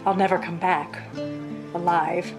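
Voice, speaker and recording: A woman's voice: on the low side, level tone, measured pace, over music. An actress in a melodramatic radio play as an anxious, pleading wife who fears for her life. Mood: dread. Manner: fearful, pleading.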